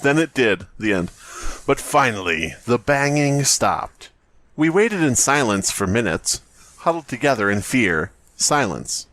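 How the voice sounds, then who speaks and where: A man co-hosting a comedy horror podcast, with pitch that swings widely as he reads and riffs. His delivery is theatrical and joking, with swearing thrown in. The sound is dry, with very little room. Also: big pitch swings, unhurried, a bit bright, dry recording. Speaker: podcast host. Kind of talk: reading horror story aloud with joking banter.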